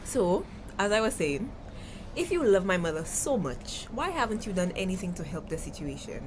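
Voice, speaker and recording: A woman's voice: medium pitch, sing-song, normal speed, lightly acted. A woman with a Caribbean accent playing an outspoken, insistent young daughter in a TV drama. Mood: pointed appeal. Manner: insistent, challenging, questioning. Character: outspoken young woman, anxious daughter pleading for her mother. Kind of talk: TV drama dialogue. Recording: clearly noisy background ambience.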